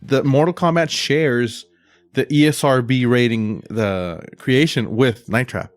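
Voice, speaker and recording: A man's voice: medium pitch, unhurried, no room sound. A man co-hosting a podcast in an informal, conversational way. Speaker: podcast co-host. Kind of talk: casual chat.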